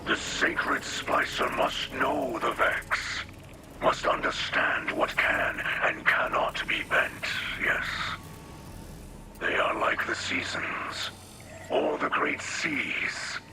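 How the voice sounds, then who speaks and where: Male actor as solemn alien sage, video game, slow whispery rasp, grave and portentous, noisy background. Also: mid-range voice, natural voice. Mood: grave, worried. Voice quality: breathy, whispery, rasping. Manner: slow, measured, portentous delivery. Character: solemn, wise alien mystic leader, grave and foreboding. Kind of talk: scripted video game dialogue.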